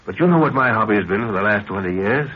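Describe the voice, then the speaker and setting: Commanding older visionary scientist, low and very animated, 1930s radio adventure style. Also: male voice, quick pace, slightly rough. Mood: eager, intent. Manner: emphatic, declamatory. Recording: dull, noisy 1930s radio recording.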